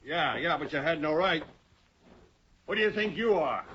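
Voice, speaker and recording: A man's voice: a little high, expressive, normal speed, fairly bright tone, lo-fi sound, a little hiss or hum. An actor playing a cornered, defiant gunman with a sneering manner in a 1950s radio drama. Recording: old radio broadcast, music under.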